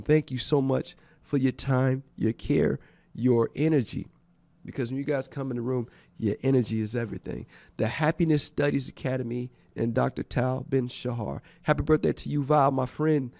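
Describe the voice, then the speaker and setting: A man's voice: medium pitch, vocal fry, warm tone, no echo. A congested man hosting a radio show, giving enthusiastic, rambling thank-yous in casual chat. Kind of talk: casual radio shout-outs. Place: radio studio.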